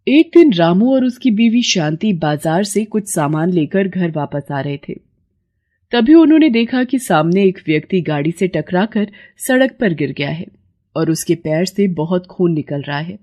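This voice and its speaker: A woman's voice: fairly low pitch, expressive, a little slow, dark tone. A woman narrating a scripted moral tale as storyteller.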